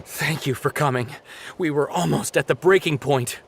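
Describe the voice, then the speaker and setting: Gravelly, exhausted game fighter. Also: male voice, on the high side, normal speed, a little hiss or hum. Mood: relieved, exhausted. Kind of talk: English video game voice acting.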